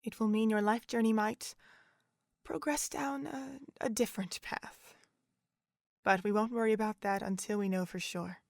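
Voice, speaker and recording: Actress as calm motherly pony doctor lady, medium pitch, gentle, deliberate, really dry audio drama sound. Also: gravelly, no room sound, natural voice. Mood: reassuring, solemn.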